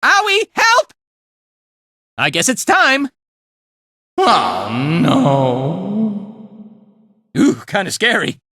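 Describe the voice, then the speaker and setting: An easygoing, playful slacker fighter from a video game. He drawls casually and takes his time, with pitch that leaps all over the place. The sound is very dry and close. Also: huge pitch swings, very slow, long pauses, bright, forceful tone, dry recording, exaggerated voice. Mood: excited, then laid-back, musing. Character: laid-back, playful, slacker male fighter and guide. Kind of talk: animated video game voice lines.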